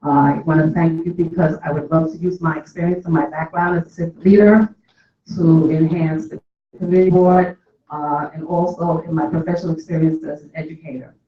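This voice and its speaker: A man's voice: on the high side, little pitch movement, a little fast. Male civic candidate, formal public address, distant and muffled meeting-room sound. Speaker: board officer candidate, educator and civic leader. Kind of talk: formal prepared campaign speech. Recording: echoey, distant, dull room recording.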